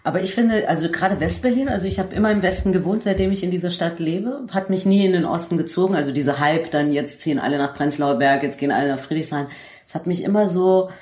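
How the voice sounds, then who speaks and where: A woman's voice: lowish voice, fast talker, a little mellow. A woman speaking as a radio show host in a conversational interview.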